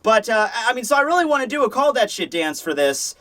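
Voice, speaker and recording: A man with a high voice hosts a casual comedic review. He rambles excitably, swears freely and keeps dropping sarcastic asides into his commentary. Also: fast. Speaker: host of a manga review show.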